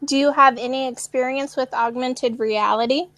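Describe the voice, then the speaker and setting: Woman host, bright higher voice, dry close sound, casual chatty delivery. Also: fairly even intonation, moderate pace, no echo. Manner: chatty, laughs often, many ums. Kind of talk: casual live video chat.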